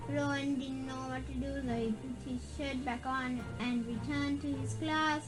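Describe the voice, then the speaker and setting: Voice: sits high, steady tone, unhurried. Someone reading a children's spooky story aloud, stumbling and repeating words along the way. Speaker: reader. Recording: background music. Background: music.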